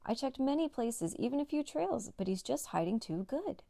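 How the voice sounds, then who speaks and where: A woman's voice: sits high, big pitch swings, normal speed, gravelly, warm tone, voice pushed up, full character voice. A woman voicing an earnest, eager female dog who is a team player in a children's storybook. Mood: sad. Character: eager, cooperative female Mountain Cur dog.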